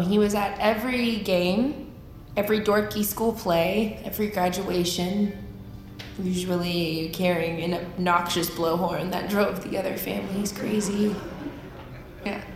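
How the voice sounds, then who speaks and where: A woman's voice: lowish voice, a little mellow, roomy sound, slight background noise. A woman playing a blunt, grieving young army private in an audio drama, with an American accent and an argumentative, fiercely insistent way of talking. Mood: grieving, halting. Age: young adult.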